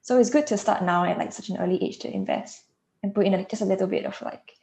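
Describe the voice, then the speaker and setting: A woman, a student answering in an interview in a flat way, full of filler likes. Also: medium pitch, fast talker, a little hiss or hum. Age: 20s. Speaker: university student. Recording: echoey room.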